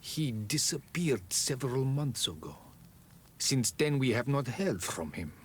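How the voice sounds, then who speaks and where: An actor playing a wise, solemn elder of an island tribe in a fantasy game, speaking in a measured, ceremonial way. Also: male voice, mid-range voice, expressive, a little hiss or hum, natural voice. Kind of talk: fantasy video game cutscene acting.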